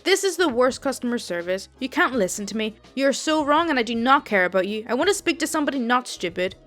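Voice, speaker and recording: A woman voicing a rude elderly woman caller with a smoker's voice who loudly insults and demands a supervisor. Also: fairly high pitch, sing-song, quick pace, soft background music. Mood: angry, insulting. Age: older.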